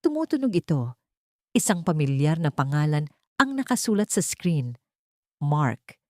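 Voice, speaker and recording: Low-voiced woman narrating drama. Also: very animated, moderate pace, dark tone, dry recording, studio quality. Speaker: audiobook narrator. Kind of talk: dramatic scripted story narration.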